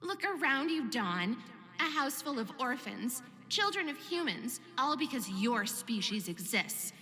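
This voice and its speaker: Cruel orphan bully, bright piercing voice, slow taunting delivery, long reverb, fantasy game villain. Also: female voice, high voice, sing-song, full character voice. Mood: mocking, hateful. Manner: mocking, drawn-out. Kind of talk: visual novel villain acting. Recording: long reverb, music behind. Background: music.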